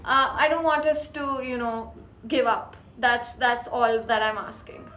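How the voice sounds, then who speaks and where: Woman co-host, high voice, chatty, code-switches, roomy echo. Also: measured pace, pushed and bright, slight background noise. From Pakistan. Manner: chatty, mixes English with Urdu phrases. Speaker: podcast co-host. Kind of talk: casual chat. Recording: echoey room.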